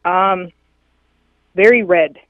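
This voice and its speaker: A woman's voice: lowish voice, very slow. A woman from North Dakota calling in to a talk show, often starting her sentences with ums. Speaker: caller. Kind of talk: call-in chat. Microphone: phone. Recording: tinny phone line.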